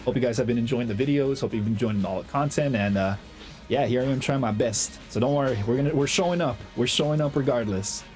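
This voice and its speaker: A young man with a slight cold, chatting excitedly over his own gameplay as the host of a gaming channel, full of slang and fillers. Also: mid-range voice, fast, soft background music. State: has a cold, slightly hoarse. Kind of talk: casual gameplay commentary.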